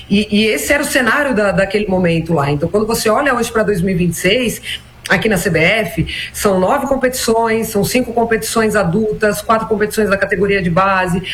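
A woman's voice: medium pitch, a bit bright. Reflective woman in her forties. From Brazil. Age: 40s. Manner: measured, frequent "né" and "então" fillers. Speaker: former national team player, guest. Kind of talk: reflective interview answers.

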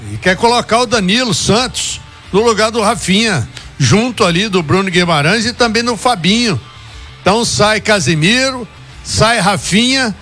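A man's voice: high voice, bright, forceful tone. A man giving his opinions as a commentator on a radio football talk show, sure of what he says. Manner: opinionated, confident. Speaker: sports commentator. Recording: radio broadcast with music behind.

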